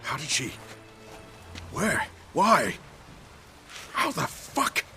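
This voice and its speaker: Animated, high-pitched patriot soldier. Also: male voice, very slow, faint music behind, heavily acted. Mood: incredulous. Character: gruff, decisive male soldier, loyal patriot. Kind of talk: dramatic video game voice acting.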